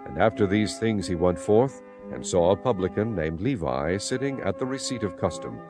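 A man narrating dramatized scripture as a solemn, measured storyteller. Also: low-pitched, lively intonation. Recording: clear music bed behind narration. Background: music.

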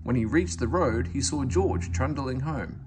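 A man reading a children's storybook aloud as narrator, in an expressive way. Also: medium pitch, unhurried, noisy background. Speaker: storybook reader. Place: indoors at home, book on camera.

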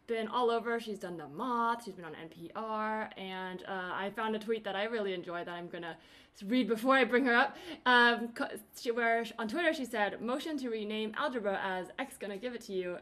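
A woman hosting a casual interview podcast, chatty and quick to laugh, talking over a video call. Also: a little high, fairly bright tone. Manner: chatty, laughs often, many fillers and restarts. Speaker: podcast host. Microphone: remote video call.

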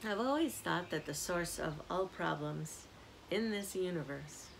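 An older woman, a community minister, giving a gentle spiritual reflection on an outdoor porch, filmed by a camera close up. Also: on the low side, vocal fry, a little hiss or hum, poor audio. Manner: slow, soft-spoken, reflective. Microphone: camera at close range. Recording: outdoor ambience audible behind.

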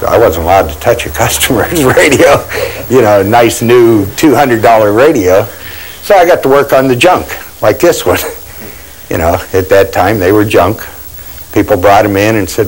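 A man's voice: lowish voice, rough recording. A middle-aged man, a repair shop owner, talking casually in his small shop. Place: small radio shop indoors.

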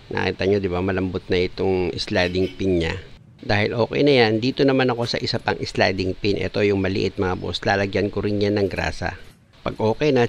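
Mechanic guy, lowish voice, talks you through brake work step by step, faint shop noise behind. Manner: explanatory, calls viewers "mga boss". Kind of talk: DIY repair tutorial voice-over.

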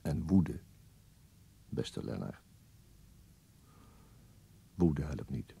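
An actor playing a weary, brooding police detective in a radio play monologue, deliberate and resigned, with a husky, gravelly voice. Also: male voice, a bass voice, very animated, warm tone, heavily acted. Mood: somber.

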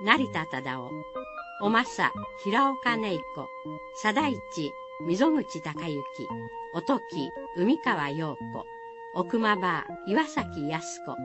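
A woman's voice: medium pitch, sing-song, takes it slowly. A woman reading scripted folktale narration for a radio drama. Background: music.

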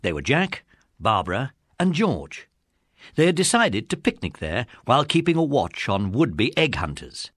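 An English man narrates a children's story from a script. His mid-pitched voice is expressive and varied, recorded dry and close. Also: measured pace, no room sound.

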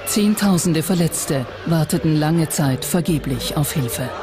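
A narrator with a mid-pitched voice delivers scripted documentary narration. Music plays clearly behind the voice throughout. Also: lively intonation, measured pace. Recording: narration over prominent music. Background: background music.

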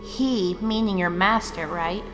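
A woman's voice: mid-range voice, a little slow, big-room sound, over music, natural voice. A woman voicing a stern, curious and bossy fox researcher in a scripted comic dub. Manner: firm, bossy.

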